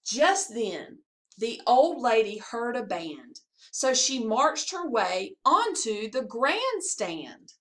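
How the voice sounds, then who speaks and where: A woman with a high-pitched voice reads a children's story aloud. She takes her time with each line and swings widely up and down in a sing-song, expressive way, like a school staffer reading to young pupils. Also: slow. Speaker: assistant principal reading to pupils. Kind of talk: children's picture-book read-aloud.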